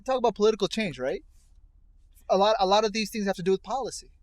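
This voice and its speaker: A man's voice: sits high, quick pace, no echo. A man co-hosting a casual discussion, putting probing and rhetorical questions in a conversational way. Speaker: co-host asking questions. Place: inside a car.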